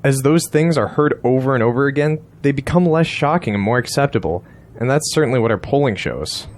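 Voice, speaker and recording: An actor playing a confident, persuasive campaign strategist, courteous yet blunt, in an audio drama. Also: male voice, medium pitch, animated, fairly quick, natural voice.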